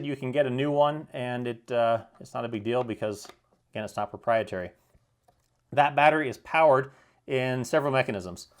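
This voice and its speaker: A man giving an unscripted product demonstration in a plain-spoken, explanatory way, sometimes halting to correct himself. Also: mid-range voice. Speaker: product reviewer.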